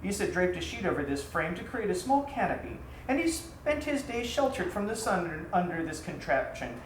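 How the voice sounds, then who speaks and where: A man reading his own story aloud as a theatrical storyteller, with a dramatic, deliberate delivery. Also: sits high. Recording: roomy echo, faint background noise.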